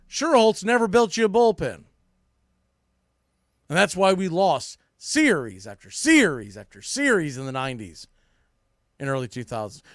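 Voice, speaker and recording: A man's voice: a little high, no echo, studio quality. A man hosting a sports podcast, giving casual, opinionated commentary in an informal, emphatic way.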